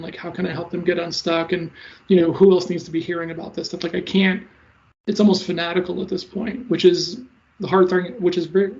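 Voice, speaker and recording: A man in his thirties who talks fast and stutters a little, giving casual interview answers as a sales coach. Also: high-pitched, creaky, darkish tone. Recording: slightly dull lo-fi audio.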